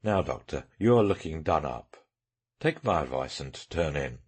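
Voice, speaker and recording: A man voicing a sharp, self-assured detective in a dramatic audiobook reading, speaking incisively and with emphasis. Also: extremely low pitch, big pitch swings, moderate pace, slightly rough, pitched below the performer's own voice, exaggerated voice. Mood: commanding.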